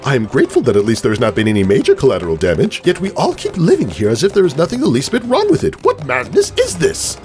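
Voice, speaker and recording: A man's voice: medium pitch, huge pitch swings, quick pace, hardly any pauses, darkish tone, faint music behind, pitched above the performer's own voice. A man voicing a large male cartoon pony who rants in a prim and proper, melodramatic, wordy manner. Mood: exasperated ranting.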